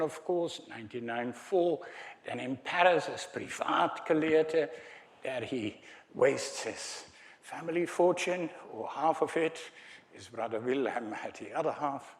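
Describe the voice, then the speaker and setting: An older man with a Dutch accent lectures at an unhurried pace, stopping often on ums and uhs. His delivery is hesitant but friendly, broken by wry little asides to the audience, in a mid-pitched voice. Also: expressive. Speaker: academic speaker. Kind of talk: conference lecture with slides.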